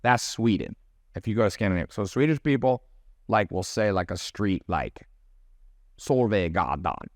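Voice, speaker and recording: Animated man, dry close mic. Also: on the low side, normal speed, dry recording. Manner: animated storyteller, mimics accents. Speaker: podcast guest, business mentor. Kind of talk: casual chat.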